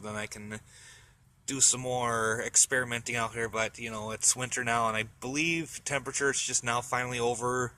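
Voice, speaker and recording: A man giving a casual, unscripted show-and-tell as a vlogger outdoors, speaking in a halting way as he thinks aloud. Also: mid-range voice, sharp tone. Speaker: hobbyist vlogger. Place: outdoors in a yard in winter. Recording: outdoor camera audio with faint background noise.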